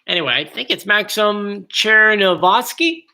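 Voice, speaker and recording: A man's voice: sits high, lively intonation, measured pace, pushed and bright. A man hosting a casual live stream from home, chatty and joking, easily drifting off topic. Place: home, bedroom area. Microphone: computer mic.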